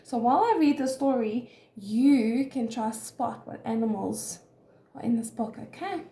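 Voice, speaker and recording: A woman reads to young children as a nursery teacher. Her voice is high and very expressive, taking its time over each phrase, in an echoey room. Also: unhurried. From South Africa. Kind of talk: reading a children's story aloud.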